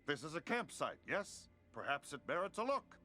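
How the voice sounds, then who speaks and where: Bright, curious, naive otherworldly being. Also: male voice, a little high, big pitch swings, normal speed, faint music behind. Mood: puzzled, musing. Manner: quaint, formal, wondering phrasing. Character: naive, curious, philosophical newly-sentient being. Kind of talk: video game character acting.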